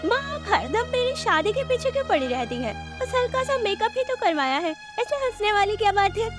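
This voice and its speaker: A woman acts out a young college-age village daughter in an animated tale. She is earnest and pleading in a very high voice, over clear background music. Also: brisk. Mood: protesting. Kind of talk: animated moral story voice acting.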